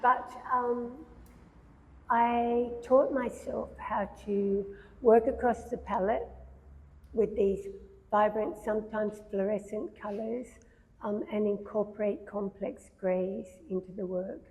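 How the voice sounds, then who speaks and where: A soft-spoken woman, a painter talking about her work in a casual conversation at an art gallery, pausing often with fillers. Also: mid-range voice, steady tone. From Australia. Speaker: painter discussing her exhibition. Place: art gallery, large room. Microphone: handheld microphone. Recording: long reverb in a gallery hall.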